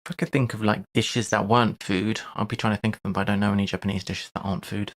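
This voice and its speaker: A man streaming casually, thinking aloud in short, halting fragments as he works through puzzles. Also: on the low side, steady tone. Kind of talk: casual live-stream chat while solving crosswords.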